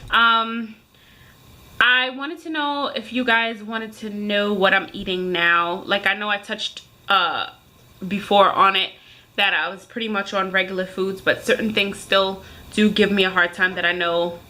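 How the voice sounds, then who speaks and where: A woman's voice: mid-range voice, fairly even intonation, unhurried, bright, forceful tone. A woman in her thirties speaking casually and emphatically to viewers, like a vlogger airing a rant. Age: 30s. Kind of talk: casual ranting chat to viewers.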